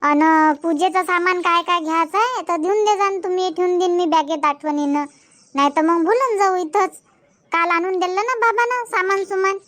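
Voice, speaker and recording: A woman's voice: very high-pitched, normal speed, a bit bright. A woman voicing a busy young village daughter-in-law and mother in a cartoon comedy, chatty, with a Varhadi Marathi accent. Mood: agreeable, busy. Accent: Varhadi Marathi from Vidarbha. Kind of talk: amateur cartoon comedy dubbing in village dialect.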